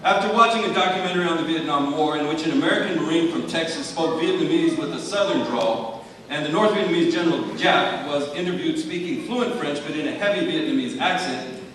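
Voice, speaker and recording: A male high school teacher delivering a prepared award speech in a measured, articulate, formal way with dry wit. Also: a bit bright. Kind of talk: prepared speech, wryly humorous tribute. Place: large hall at an awards ceremony. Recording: very echoey hall, far from mic, faint noise.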